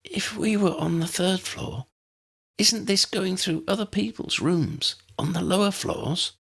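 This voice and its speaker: Storybook role: curious, excitable young woman, pitched high, played by a male reader. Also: a little slow, creaky, breathy edge, heavily acted. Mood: puzzled.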